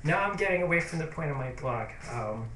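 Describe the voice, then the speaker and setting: A man's voice: fairly high pitch, expressive, a little fast, bright, forceful tone, recorded from a distance, a little hiss or hum. A man talking straight to camera in a casual diary vlog. He rambles hesitantly in a sentimental, confiding tone. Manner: rambling, hesitant, full of fillers and restarts. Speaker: YouTube vlogger. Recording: roomy echo.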